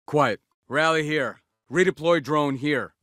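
A tough special forces soldier from a military shooter game. His voice is bright and forceful, set a little high for a man. He barks terse, commanding callouts, recorded very dry and close. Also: slow, dry recording, soft background music. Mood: brisk, alert. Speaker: video game voice actor.

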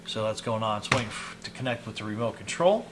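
A man in his fifties giving a casual, unhurried product demonstration, explaining each step informally as he goes. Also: lowish voice, expressive. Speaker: product reviewer demonstrating gadgets on his channel. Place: small indoor hobby room at a desk. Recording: clear background noise, clicks of handled items.

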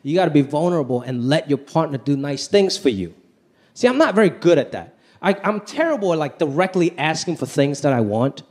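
High, lively-voiced man doing stand-up. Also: brisk. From Hong Kong. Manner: animated, pitch swinging high and low for punchlines. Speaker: stand-up comedian. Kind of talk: stand-up comedy set. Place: large theater stage with live audience. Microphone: handheld stage mic. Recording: live show with faint crowd and room noise.

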